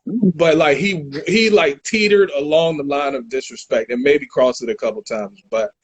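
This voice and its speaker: A man's voice: fairly high pitch, moderate pace, slightly rough, low-quality recording. A man in his thirties in a casual boxing chat, laid-back and slangy, calling people dog and bruh. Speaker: podcast co-host.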